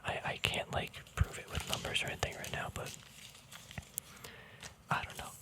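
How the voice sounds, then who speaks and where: Guy doing asmr, all soft whispering, laid back and chatty like showing off a hobby. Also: moderate pace. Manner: relaxed, informal, chatty. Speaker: ASMR video host. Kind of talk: whispered ASMR, casual card deck walkthrough.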